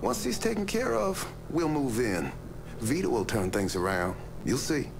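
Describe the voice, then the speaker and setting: Game role: confident, sly gangland informant. Gravelly, swinging pitch, conspiratorial, noisy backdrop. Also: male voice, huge pitch swings, moderate pace. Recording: noisy background ambience.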